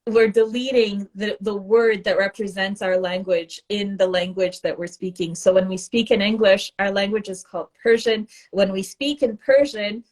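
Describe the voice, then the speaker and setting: A woman journalist delivering news updates on a live stream. She speaks earnestly and with passion, stressing her points. Her voice is mid-pitched, in the ordinary range for a woman. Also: steady tone, moderate pace, hardly any pauses.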